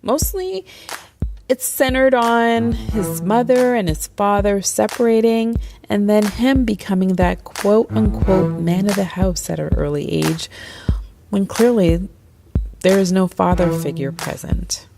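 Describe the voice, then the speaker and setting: Woman, podcast host, sassy and playful, middle pitch, faint background music. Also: unhurried, creaky. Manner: sassy, playful, lively delivery. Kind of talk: upbeat scripted podcast intro.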